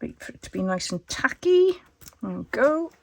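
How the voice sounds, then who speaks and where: A woman with a croaky voice, thinking aloud as she demonstrates a casual craft tutorial. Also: sits high, very animated, normal speed, a little mellow, slight background noise. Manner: chatty, thinks aloud while working. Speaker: crafter demonstrating junk journal covers.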